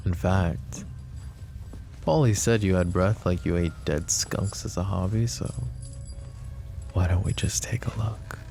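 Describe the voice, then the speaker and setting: A man playing a possessive mafia boss from an audio drama, with a very deep, gravelly voice and a dark tone. He taunts softly and slowly, wrapping threats in pet names, over faint music. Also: fairly even intonation. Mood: menacing. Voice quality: soft, smooth and taunting. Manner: mocking, slow and threatening, with sweet pet names. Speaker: voice actor. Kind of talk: roleplay ASMR audio drama.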